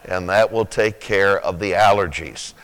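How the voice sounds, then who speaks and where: A man in his sixties with a rough, sleepy-sounding voice, preaching a sermon slowly, with many pauses, then rising with fervent emphasis. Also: low-pitched, fairly bright tone. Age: 60s. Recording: reverberant hall.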